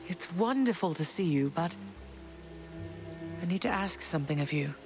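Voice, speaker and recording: A woman's voice: lowish voice, expressive, close to an everyday voice. Woman as sorrowful, dignified goddess mother over music. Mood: tender, regretful. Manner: formal, tender, measured. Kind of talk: dramatic video game acting.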